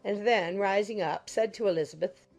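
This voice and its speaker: A woman's voice: mid-range voice, normal speed, faint music behind. A woman reading scripted literary narration as an audiobook narrator.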